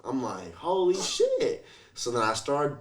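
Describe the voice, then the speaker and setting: A man's voice: mid-range voice, huge pitch swings, unhurried, over a noisy crowd. Slangy man chatting casually. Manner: slangy, swears, acts out quotes, frequent "like" and "you feel me". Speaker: interview guest, rapper. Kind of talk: casual, animated storytelling chat.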